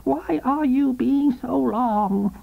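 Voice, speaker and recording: A woman's voice: sits high, slow pace, vocal fry, narrow, tinny audio, pitched above the performer's own voice, exaggerated voice. A man voicing a sickly, peevish wife in a storybook reading, weak yet urgent and querulous. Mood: peevish, despairing. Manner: urgent, demanding.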